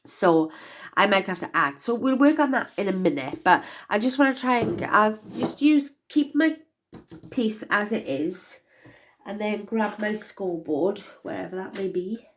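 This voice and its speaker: A woman's voice: medium pitch, animated, moderate pace, slight background noise. Chatty woman, nasal from a cold. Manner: chatty, rambling with many fillers. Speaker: crafter demonstrating box making. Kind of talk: casual craft-along chat.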